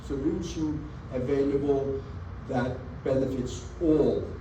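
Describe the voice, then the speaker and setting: A man from Norway with a Norwegian accent, giving an earnest, measured presentation over slides at a community meeting and stressing key words. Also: medium pitch, steady tone, warm tone. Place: meeting room with an audience. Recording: echoey meeting room, mic at a distance, audible background noise.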